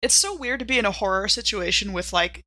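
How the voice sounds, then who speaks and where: A woman playing a tabletop game, improvising conversationally with emphatic, halting phrasing. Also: medium pitch, pushed and bright, no echo, studio quality. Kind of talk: casual improvised in-character conversation.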